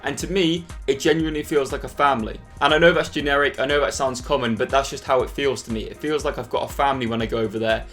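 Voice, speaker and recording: Brief: young English guy, rapid candid vlog delivery, mid-pitched voice, faint music underneath. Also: fairly even intonation, a bit bright. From England. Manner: candid, earnest, quick-talking. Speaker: football vlogger. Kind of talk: casual vlog to camera.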